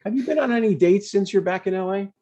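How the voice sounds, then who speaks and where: A man reads the part of an older father in a comedy script. His voice is soft-edged and husky. He chats breezily and needles gently, stubborn in an everyday, natural way. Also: high-pitched, fast talker, highs cut off. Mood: breezy, coaxing.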